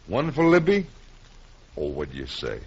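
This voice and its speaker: A man's voice: on the low side, huge pitch swings, moderate pace, noisy background. A man playing an easygoing, tipsy railroad surveyor in a western radio drama, loose and chatty. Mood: tipsy, genial. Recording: muffled old radio recording.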